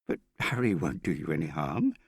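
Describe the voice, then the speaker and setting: A man voicing a timid, kindly girl in an audiobook, with a gentle, hesitant and apologetic manner. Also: extremely low pitch, big pitch swings, normal speed, creaky, husky, dry recording, studio quality, exaggerated voice. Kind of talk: audiobook character acting.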